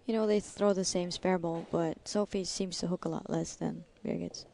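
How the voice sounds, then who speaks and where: A woman's voice: fairly low pitch, steady tone, moderate pace, creaky, dark tone. A woman doing live commentary on a women's bowling final, chatty and given to rambling and giggling. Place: bowling arena with crowd. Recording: crowd and noise behind.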